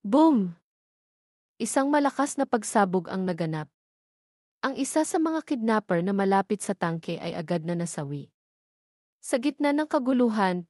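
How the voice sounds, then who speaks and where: A woman's voice: medium pitch, darkish tone, no echo, studio quality. A woman with a Filipino accent narrating a scripted dramatic story.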